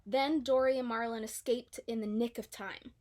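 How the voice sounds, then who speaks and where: A woman with a fairly high voice reads a children's story aloud. Her delivery is scripted storybook narration. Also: fairly even intonation, normal speed, darkish tone. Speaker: storybook reader.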